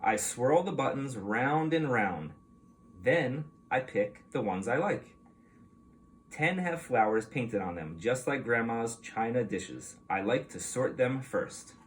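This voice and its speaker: A man reads a storybook aloud for young children in a medium-pitched voice. His intonation is animated and expressive, explaining as a teacher would. There is faint noise behind him.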